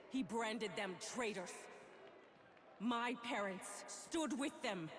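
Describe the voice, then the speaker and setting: A woman acting a fierce Mandalorian rebel leader in a video game, speaking in grave, declamatory phrases to rally warriors. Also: on the high side. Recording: noisy game scene with crowd behind.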